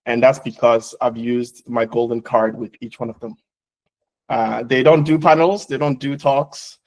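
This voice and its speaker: Man, founder, earnest launch speech, frequent uh and um, mid-pitched voice. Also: steady tone, darkish tone, rough recording. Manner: earnest, halting with many fillers. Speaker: founder and CEO, panel moderator.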